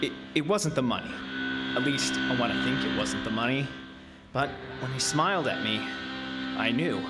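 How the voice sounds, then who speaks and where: An actor playing a troubled, weary carnival show man in an audio drama, speaking in an intense, halting way. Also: mid-range voice, over loud music, close to an everyday voice, low-quality recording. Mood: haunted, regretful. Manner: halting, intense, breaking off mid-thought. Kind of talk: audio drama acting with first-person narration.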